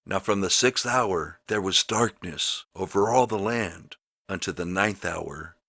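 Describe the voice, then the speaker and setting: A man narrating the Bible aloud in a dramatic, solemn and emphatic style, with deliberate phrasing. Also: deep voice, pushed and bright, no room sound. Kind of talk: dramatic scripture read-aloud of the King James Bible.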